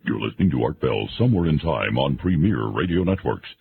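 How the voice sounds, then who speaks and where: A male announcer with an extremely deep, gravelly voice delivers a scripted radio ident with swooping intonation. The sound is narrow and lo-fi, like an old broadcast. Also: animated, fairly quick, a little hiss or hum.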